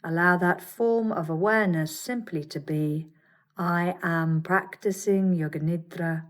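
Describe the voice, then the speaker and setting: A woman teaching yoga nidra, guiding a meditation with soothing, measured instructions and gentle pauses. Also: on the low side. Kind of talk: guided meditation.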